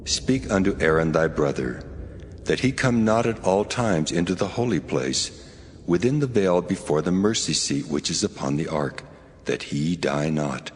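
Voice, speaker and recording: A very deep, reverberant God. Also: male voice, expressive, moderate pace. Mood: solemn, commanding. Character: the Lord God, solemn commanding male deity. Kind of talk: dramatized scripture reading. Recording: cavernous reverb, noisy ambient backdrop.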